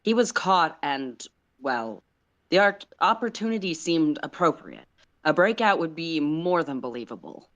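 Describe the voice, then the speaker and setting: Woman voicing a measured male commanding officer. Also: deep voice, lively intonation, fairly bright tone. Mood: grateful, businesslike.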